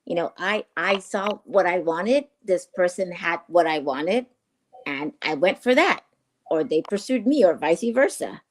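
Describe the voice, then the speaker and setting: A woman co-host on a morning talk show who speaks candidly and in a relaxed way. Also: fairly low pitch, a little slow. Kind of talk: casual radio talk.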